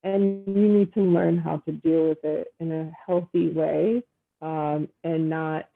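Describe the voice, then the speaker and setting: A woman's voice: low voice, steady tone, takes it slowly, creaky. A woman talking in a casual video-call conversation, earnest and reflective, with many fillers. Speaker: dating guest. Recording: tinny phone-like line.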